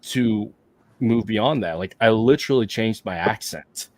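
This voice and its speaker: A man's voice: lowish voice, a little slow, a little mellow. A middle-aged man from Hawaii hosting a casual podcast conversation, laid-back and reflective, often repeating himself as he thinks aloud. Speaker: podcast host.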